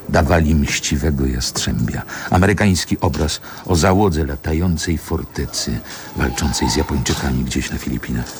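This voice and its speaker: Nostalgic narrator, extremely low voice, measured lyrical storytelling, radio play, music behind. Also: male voice, exaggerated voice. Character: male narrator, nostalgically recalling a Warsaw boyhood.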